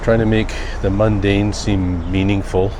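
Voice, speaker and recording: A man giving a musing, unhurried and wry vlog monologue while out on the sidewalk. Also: fairly low pitch, little pitch movement. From Canada. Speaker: daily vlogger. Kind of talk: reflective walking vlog monologue. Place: outdoors on quiet sidewalks. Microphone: handheld camera with wind muff. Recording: outdoor street noise, passing cars, wind.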